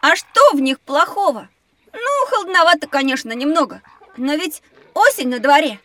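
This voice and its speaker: A woman voicing a curious, excitable young child in a children's educational audio drama, eagerly questioning and exclaiming. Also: high voice, moderate pace.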